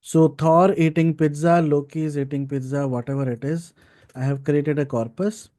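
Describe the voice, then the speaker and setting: A man's voice: a little high, fairly even intonation, normal speed, dark tone. A man teaching an informal live coding class, explaining step by step and checking in with short tags like right and okay. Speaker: data science instructor.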